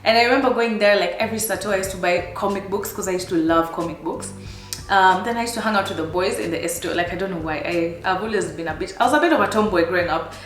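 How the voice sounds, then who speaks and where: A woman in her thirties with a Kenyan accent, chatting casually to her viewers in a life-update vlog, animated and laughing often. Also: medium pitch, reverberant. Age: 30s. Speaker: vlogger.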